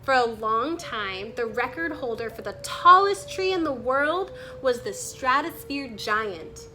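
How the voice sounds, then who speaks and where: A woman reading a nature book aloud in a measured, deliberate narration style. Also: high-pitched, expressive, bright, forceful tone, faint music behind. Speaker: reader reading a children's nonfiction book aloud.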